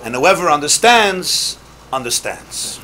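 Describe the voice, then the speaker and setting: A man who is a rabbi giving an animated Torah class, stressing key points loudly and weaving in Hebrew terms. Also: on the high side, measured pace, a crowd in the background. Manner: emphatic, rises sharply for key points, mixes in Hebrew terms.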